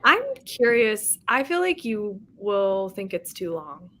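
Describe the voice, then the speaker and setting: A woman hosting a book livestream, chatting casually with friends in an animated, giggly way. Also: mid-range voice, slow pace. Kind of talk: casual group chat.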